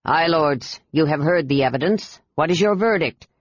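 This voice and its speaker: Woman doing a low-voiced, merciless male emperor. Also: animated, normal speed, slightly rough, no echo, lo-fi sound. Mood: stern. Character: commanding, merciless male emperor passing judgment. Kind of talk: audiobook character voice.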